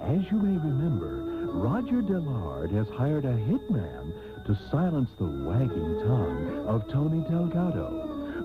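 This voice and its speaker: A man playing a pompous, mock-grand host of a parody radio soap, with florid theatrical delivery. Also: medium pitch. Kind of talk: parody soap opera announcing. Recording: tinny old radio tape. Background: music.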